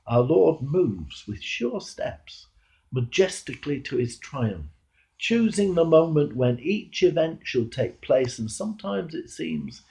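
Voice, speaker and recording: Male cleric for a read sermon: slightly high, gravelly voice, solemn and measured, with dramatic emphasis. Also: mellow. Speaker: clergyman. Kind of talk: read devotional sermon address.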